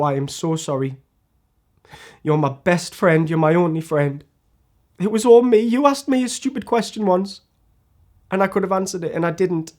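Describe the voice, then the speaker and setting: A man's voice: fairly high pitch, a little mellow, natural voice. Guy acting as a fed up celeb, bitter and sardonic, self-loathing confessional drama monologue. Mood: remorseful, pleading. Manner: ranting, sardonic, emotionally exposed.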